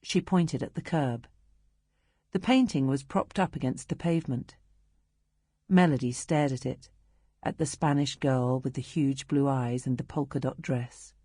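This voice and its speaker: A woman with a British accent narrates a novel in a low register. Her delivery is measured and expressive, shaped for storytelling, and the sound is dry and free of room echo. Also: breathy edge. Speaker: audiobook narrator. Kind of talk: scripted literary narration.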